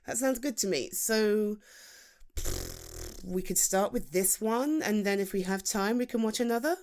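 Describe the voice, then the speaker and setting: A woman's voice: mid-range voice, unhurried, close to an everyday voice. A British woman playing a playful, chatty female best friend in a humorous, conversational ASMR roleplay. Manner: animated, conversational, teasing, with laughs and sighs.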